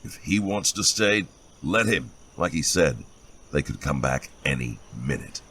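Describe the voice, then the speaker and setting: An actor playing a stern, resolute warrior, terse and commanding, in a fantasy audio drama. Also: male voice, rumbling low, lively intonation, measured pace, a bit bright, a little hiss or hum, full character voice. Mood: brisk. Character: stern, resolute fighting man, commanding companion.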